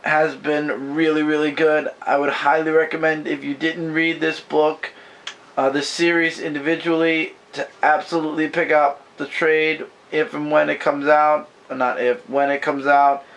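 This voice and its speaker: A man losing his voice and sounding hoarse, chatting loosely about comics from behind the camera in a bedroom. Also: on the high side, flat delivery, moderate pace, a bit bright, slight background noise. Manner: rambling, loose and self-correcting. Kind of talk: casual comic book review chat. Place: bedroom at home. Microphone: camera mic, speaking from behind the camera.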